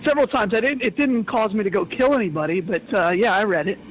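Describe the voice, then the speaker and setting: A man's voice: high voice, animated, quick pace, a little hiss or hum, low-quality recording. A man chatting casually as a radio guest in a joking, conversational way. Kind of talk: casual chat. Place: radio studio.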